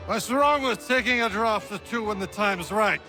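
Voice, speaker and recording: A man's voice: sits high, moderate pace, pushed and bright, faint music behind. An actor playing a plainspoken, principled, hard-drinking frontier man in a video game, with a blunt, folksy manner. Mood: casual.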